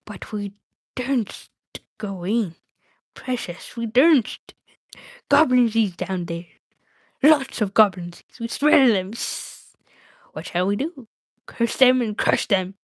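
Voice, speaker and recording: A woman's voice: on the high side, expressive, slow pace, pauses often, no room sound, heavily acted. Woman performing wretched cave creature, suspicious, whispery hiss, muttered baby-talk, storybook villain, dry sound. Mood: frightened. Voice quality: whispery, hissing.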